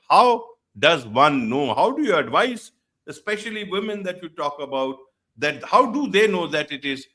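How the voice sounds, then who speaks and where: A man's voice: medium pitch, sharp tone. A man hosting an online interview show, speaking formally and repeating his welcomes. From India. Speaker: show host.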